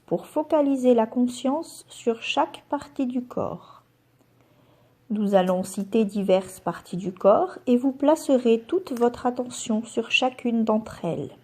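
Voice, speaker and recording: Woman, yoga nidra instructor, slow pace, soft mellow tone, calm and soothing, guided meditation delivery. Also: medium pitch.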